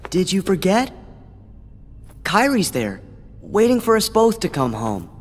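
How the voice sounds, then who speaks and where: Earnest teen boy hero, high lively voice, hopeful, reverb and music. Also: unhurried, full character voice. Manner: earnest, pleading. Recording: game audio with reverb and music.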